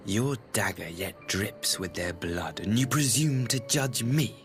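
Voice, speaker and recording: A man's voice: on the low side, animated. A man acting a smug, cruel brother in a video game cutscene, theatrical and taunting, drawing out his words. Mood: mocking. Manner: theatrical, taunting, drawn-out delivery. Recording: music behind the voice.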